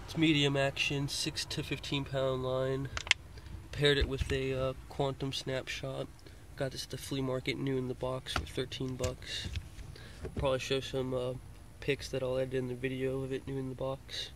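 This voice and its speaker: Rambling young man, flat monotone. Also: mid-range voice, normal speed. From Florida. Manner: rambling, hesitant, many uh fillers. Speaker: vlogger showing fishing gear. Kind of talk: casual show-and-tell. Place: inside a car, gear on the floor. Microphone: handheld phone pointed down at gear. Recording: noisy phone recording in a car.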